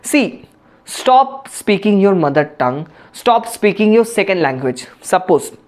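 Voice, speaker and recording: A woman's voice: mid-range voice, very animated, measured pace, darkish tone. A woman with an Indian English accent, a native Bengali speaker, giving a spoken English lesson and explaining with many restarts and fillers. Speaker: English teacher.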